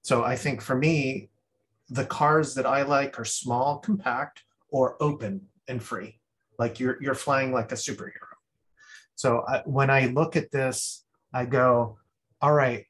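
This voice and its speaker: A man critiques a student's project over a video call. He thinks aloud, circles back and restarts his sentences, at an ordinary mid pitch. It sounds like casual spoken feedback from a guest critic. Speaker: guest critic at a design review.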